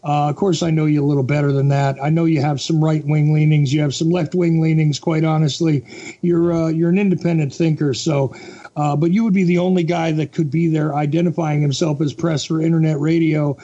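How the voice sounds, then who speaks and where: A man hosting a podcast interview, asking steady, probing questions. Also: fairly high pitch, brisk, dull audio.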